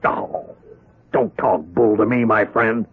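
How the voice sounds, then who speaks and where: Radio play prison warden, lowish husky voice, fatherly but bossy, thin tinny broadcast sound. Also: slow, exaggerated voice. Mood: stern. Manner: hearty, lecturing, impatient. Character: brisk, paternal, stern prison warden. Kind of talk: radio drama acting.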